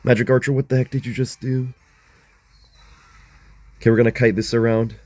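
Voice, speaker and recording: A young man with a hoarse voice giving chatty, excitable live commentary on a mobile game as he plays, talking to his viewers. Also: medium pitch, fairly quick, no room sound. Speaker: gaming content creator.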